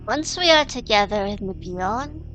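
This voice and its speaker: A woman acting as a gentle, ancient mystic guide in a fantasy audio drama, solemn and coaxing in a hushed, deliberate delivery. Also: mid-range voice, expressive, faint music behind, natural voice, rough recording. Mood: gentle, mystical.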